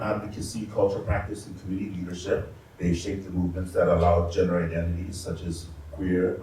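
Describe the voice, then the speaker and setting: A man in his fifties with a very low voice reads his moderator's notes in a halting way, pausing often on fillers. He is heard from a distance in a strongly echoing event room with a faint hiss behind him. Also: mellow. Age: 50s. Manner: halting, with frequent filler pauses. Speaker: panel moderator. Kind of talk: reading prepared panel remarks. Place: community arts center event room. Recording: echoey room, distant mic, faint noise.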